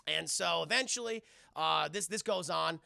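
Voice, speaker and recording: A man with a high, gravelly voice talks like an excited streamer recapping a show for his chat. His delivery is animated and very forceful, casual and peppered with swearing. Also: moderate pace, no echo, clean studio sound. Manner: animated, profane, acts out dialogue casually. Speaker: host of a live stream show review. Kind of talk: lively scene-by-scene recap to a chat.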